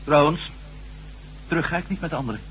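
A man's voice: fairly high pitch, fast, fairly bright tone, close to an everyday voice. A man acting a resolute ex-astronaut reporter, a confident adventurer, in a Dutch radio drama. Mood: determined. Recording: noisy old radio play recording with hum.

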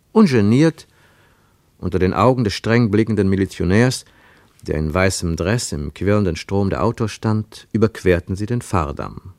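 A man narrating a radio play as a scripted literary story, with expressive storytelling delivery. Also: fairly low pitch, no echo.

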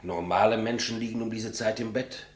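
An actor playing an elderly state executioner, polite, clumsy and matter-of-fact, in 1950s German radio play style. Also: male voice, fairly low pitch, steady tone, brisk, natural voice. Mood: businesslike probing. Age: older. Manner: deferential, plain, businesslike.

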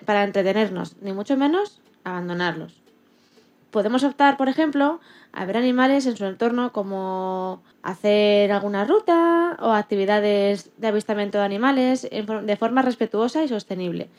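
A woman with a Spain Spanish accent co-hosting a radio show, presenting a semi-scripted segment. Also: a little high.

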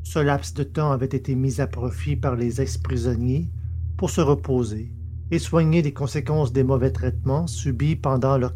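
A man's voice: mid-range voice, warm tone, a little hiss or hum. A man hosting a podcast and narrating audiobooks in a scripted presenting style.

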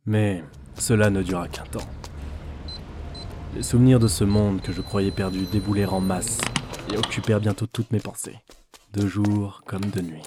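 A man's voice: lowish voice, fairly even intonation, normal speed, noisy background, natural voice. A man playing a disillusioned office worker who broods and mutters to himself in a French audio drama. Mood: brooding. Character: weary, disillusioned male office worker, former gamer.

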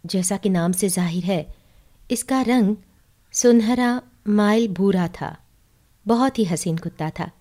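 A woman tells a literary story in the first person as a narrator. Her voice is mid-pitched and very mellow, with a soft dark warmth to the tone. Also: takes it slowly.

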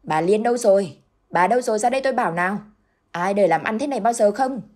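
A woman voicing angry village women who loudly accuse a seller of cheating. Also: mid-range voice, expressive, fast, voice pushed up, close to an everyday voice. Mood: furious. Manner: loud, accusing, scornful. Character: angry, loud market women customers.

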